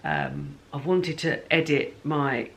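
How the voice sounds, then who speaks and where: A woman's voice: deep voice, fairly even intonation, slow, gravelly, a bit bright. A woman chatting casually in a home vlog diary about her plans and reading, rambling and correcting herself as she goes. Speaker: book vlogger.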